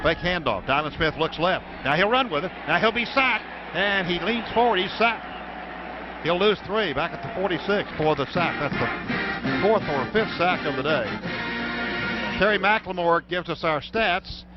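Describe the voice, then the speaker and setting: An American man calling football play-by-play on the radio in clipped running descriptions that get excited on big plays. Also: high voice, unhurried, over loud music. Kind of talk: live American football commentary. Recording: dull, noisy radio broadcast from stadium.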